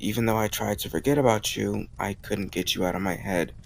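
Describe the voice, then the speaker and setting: A man's voice: fairly low pitch, fairly even intonation, fairly quick, gravelly, slight background noise, poor audio. A man playing a bold, teasing young college student in love, in an intimate, conversational romantic audio roleplay. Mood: sincere, tender.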